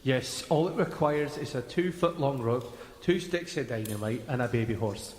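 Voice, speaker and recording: A man playing a goofy, deadpan captive sidekick in a comedic audio drama. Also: mid-range voice, moderate pace, natural voice. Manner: deadpan, absurd. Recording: echoey, long reverb.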